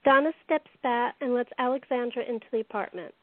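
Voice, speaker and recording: A woman's voice: fairly high pitch, brisk, a little hiss or hum, lightly acted. A woman narrating a radio play, reading out scripted stage directions. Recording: tinny phone-like lo-fi audio.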